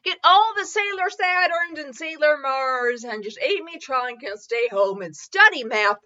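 A woman hosting a podcast with casual, humorous review chat, rambling and halting as she goes, often giggly. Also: sits high, lively intonation, pushed and bright, muffled recording. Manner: giggly, frequently breaking into laughter; rambling, halting self-interrupted delivery. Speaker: podcast host.

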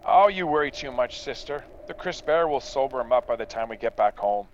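An actor playing a confident farmer, a dutiful son and brother, in a radio drama. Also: male voice, mid-range voice, lively intonation, a little fast, muffled recording, slight background noise, natural voice. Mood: reassuring. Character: confident farmer son, reassuring, dismissive of worries.

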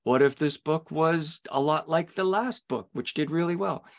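A man's voice: fairly high pitch, moderate pace, gravelly. A man hosting an interview podcast in a casual, discursive conversational way, sketching out imagined quotes.

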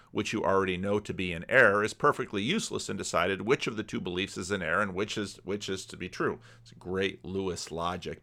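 Bookish guy with a lowish voice, reads stuff out then wanders off into his own commentary. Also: gravelly, a bit bright. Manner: bookish, digressive, stopping to comment on what is read. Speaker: video commentary host. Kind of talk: casual commentary mixed with reading a letter aloud.

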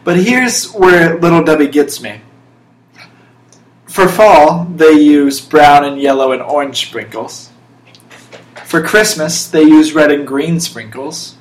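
A young man hosting a casual podcast, talking in a mock-serious, emphatic way. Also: on the high side. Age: young adult. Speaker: podcast host. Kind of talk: casual podcast chat with playful monologues. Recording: roomy echo, faint background noise.

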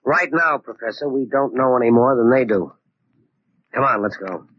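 An actor playing a tough, impatient, wisecracking investigator with a husky voice in a 1940s radio drama. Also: male voice, mid-range voice, lively intonation, moderate pace, natural voice. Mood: determined. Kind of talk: 1940s hard-boiled radio drama acting. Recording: dull 1940s radio recording.

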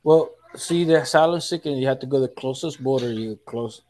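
A man's voice: medium pitch, a little fast, darkish tone. A man chatting casually on a political podcast, jumping in loosely and often trailing off.

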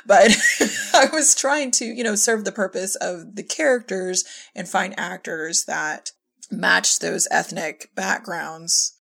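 A woman's voice: medium pitch, slow pace, creaky, a bit bright. A woman speaking casually in an interview as an audio drama director.

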